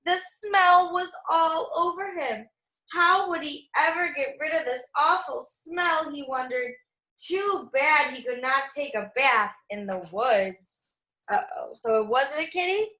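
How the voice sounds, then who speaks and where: A woman reading a children's picture book aloud as a storyteller, expressive and teacherly, with asides to young listeners. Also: high-pitched, measured pace. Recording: echoey room.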